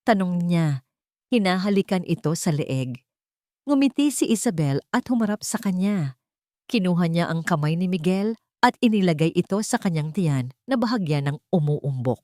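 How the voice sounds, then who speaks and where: A woman narrating a scripted story in an earnest, sentimental, measured way. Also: on the low side, expressive, dark tone, dry recording, studio quality.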